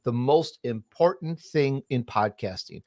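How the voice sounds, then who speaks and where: A man's voice: mid-range voice, animated, measured pace, warm tone, dry recording, studio quality. A man speaking as an interview guest, telling his business story and giving advice in an emphatic, self-assured, conversational way. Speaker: interview guest, podcaster and entrepreneur.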